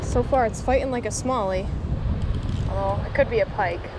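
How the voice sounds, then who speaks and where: A woman in her twenties giving excited live commentary while fishing at a creek, gushing with exclamations, recorded on an action camera outdoors. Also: medium pitch, moderate pace. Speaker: fishing vlogger. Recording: outdoor camera mic with water noise. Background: flowing water.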